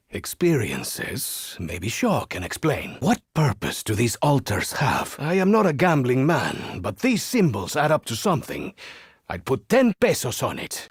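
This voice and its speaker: A man's voice: medium pitch, slightly rough, no room sound, clean studio sound, heavily acted. An actor with a Spanish accent playing a flamboyant, vain and witty male gunslinger in a video game, with suave, theatrical and playfully boastful delivery. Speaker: game character.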